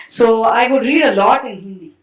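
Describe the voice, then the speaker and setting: A woman's voice: fairly high pitch, normal speed, reverberant, rough recording. A woman from India who grew up speaking Tamil, telling her story on a festival panel in a conversational, reflective way. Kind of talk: panel discussion. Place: festival stage hall.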